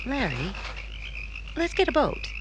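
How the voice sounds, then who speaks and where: Female, acting playful, coaxing woman for 1950s-style radio drama; expressive melody, medium pitch, noisy ambience behind. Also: unhurried, heavily acted. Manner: teasing, coaxing. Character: playful, coaxing, free-spirited woman out at night.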